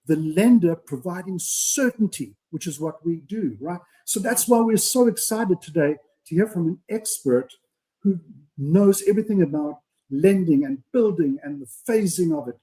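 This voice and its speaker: A man's voice: a little high, dark tone, rough recording. A man co-hosting a live show, speaking earnestly in a speechifying way and often adding "right?". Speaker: podcast co-host. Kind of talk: casual live-stream chat.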